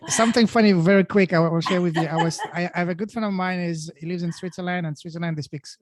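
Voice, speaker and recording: A man in his forties, French his first language, hosting a podcast with enthusiastic, deliberate questions into a close studio mic. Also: sits high, fairly even intonation, noisy background. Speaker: podcast host. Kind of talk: casual interview chat. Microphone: large studio mic close up.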